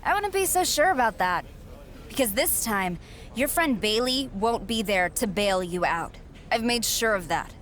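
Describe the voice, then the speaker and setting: A woman's voice: fairly high pitch, expressive, measured pace, fairly bright tone. A woman voicing a confident young female wrestler in game cutscenes, talking in a cool, sarcastic, self-assured way. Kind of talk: video game cutscene dialogue.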